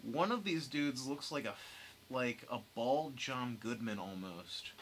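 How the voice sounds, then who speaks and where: A man's voice: mid-range voice, expressive, unhurried, fairly bright tone. A young man hosting a casual group discussion. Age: young adult. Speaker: podcast host. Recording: clear background noise.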